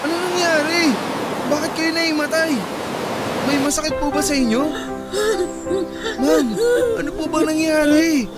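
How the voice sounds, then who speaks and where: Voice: high-pitched, fairly even intonation, unhurried, pauses often, over loud music. An actor playing a friendly, polite young male motorcycle taxi rider in a dramatized audio love story, concerned and teasing. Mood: alarmed, concerned.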